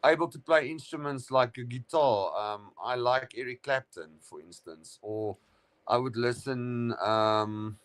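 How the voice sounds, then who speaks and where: Middle-aged man, stream guest, loose rambling chat with lots of ums and restarts, mid pitch. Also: unhurried. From South Africa. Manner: rambling, full of fillers and restarts, says "brother". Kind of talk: casual chat on a live stream.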